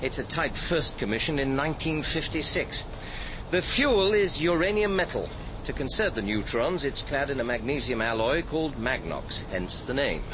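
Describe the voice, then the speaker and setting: An actor with a British accent playing a brisk, urbane power station director in a radio drama, explaining with wry poise. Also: male voice, mid-range voice, big pitch swings, background noise, natural voice. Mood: urbane, businesslike. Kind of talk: naturalistic radio drama acting.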